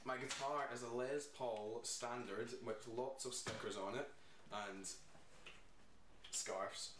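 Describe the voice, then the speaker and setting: A young Scottish man in a band, chatting casually in a video Q&A, laid-back and trailing off softly. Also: mid-range voice, steady tone, takes it slowly. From Scotland. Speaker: band singer. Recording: echoey room, mic far off, faint noise.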